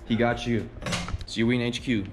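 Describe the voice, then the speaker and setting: A man's voice: mid-range voice, level tone, normal speed. A man in his twenties vlogging about car repairs in a casual, slangy, sweary way, recorded on a handheld camera. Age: 20s. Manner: sweary, slangy, laid-back banter. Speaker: host of a car DIY vlog. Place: driveway and home or workshop garage. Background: music.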